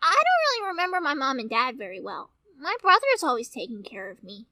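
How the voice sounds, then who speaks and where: A woman voicing a plucky, cheeky, headstrong little child in an animated cartoon. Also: sits high, fairly quick, no room sound, heavily acted. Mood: wistful.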